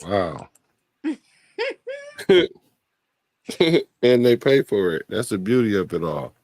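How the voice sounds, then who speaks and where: A man hosting a casual late-night chat, laid-back and joking in a relaxed, slangy way. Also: lowish voice, slow pace, no echo, clean studio sound. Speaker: host.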